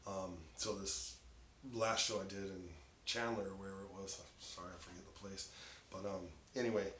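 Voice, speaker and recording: Brief: raspy comic, lowish voice, bright edge, casual laughing talk, roomy echo. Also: male voice, little pitch movement, moderate pace. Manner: loose, laughing storyteller. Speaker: stand-up comedian, guest. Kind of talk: casual chat. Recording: echoey room, faint noise.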